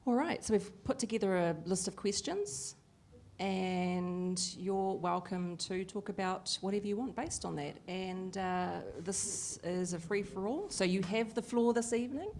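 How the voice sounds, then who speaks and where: A woman voicing a Deaf host live at an evening event, lively and chatty with frequent fillers. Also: mid-range voice, a little slow, slight background noise. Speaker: sign language interpreter voicing the Deaf host. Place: event venue with an audience.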